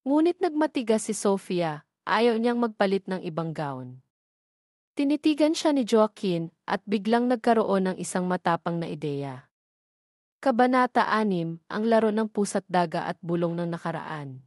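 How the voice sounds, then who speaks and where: A woman reading a romance story aloud as narrator, in a steady, even storytelling voice. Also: medium pitch, normal speed, no room sound, clean studio sound.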